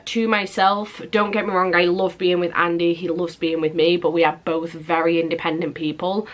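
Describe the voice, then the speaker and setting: English woman, young, stuffed-up nose, rambling chatty vlog talk, lowish voice. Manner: chatty, rambling, swears casually. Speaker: vlogger. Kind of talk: casual monthly vlog chat. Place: at home, indoors.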